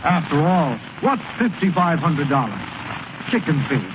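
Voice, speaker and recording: Slick, animated, high-voiced gambler. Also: male voice, measured pace. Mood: falsely genial. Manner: patronizing, breezily reassuring. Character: smooth-talking, scheming male gambler, falsely genial. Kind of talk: 1930s radio acting. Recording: noisy, muffled vintage radio recording.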